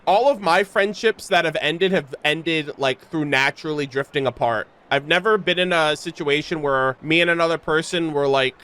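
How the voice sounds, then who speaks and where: A woman's voice: low voice, moderate pace, hardly any pauses, fairly bright tone. A young adult woman chatting casually and expressively as a streamer reacting to a game, with mock-dramatic exclamations. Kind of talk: casual livestream reaction chat. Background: faint game music.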